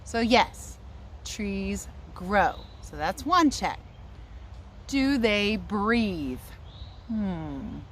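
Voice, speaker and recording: A woman with a mid-pitched voice reads to young children as a teacher would. She breaks off for chatty asides and puts questions to her listeners. She is recorded in a yard, with light outdoor noise behind her. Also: lively intonation, extremely slow, a bit bright. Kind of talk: read-aloud lesson for young children.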